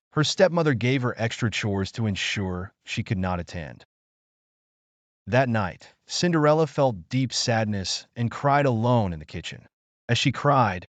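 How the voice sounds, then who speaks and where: A man's voice: on the low side, dry recording. A man narrating a scripted fairy tale as a bedtime story for children.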